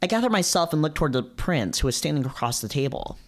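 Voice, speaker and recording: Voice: mid-range voice, very animated, fast. A streamer who reads visual novels aloud and chats casually, often repeating phrases when reacting. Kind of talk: livestream read-aloud with casual chat.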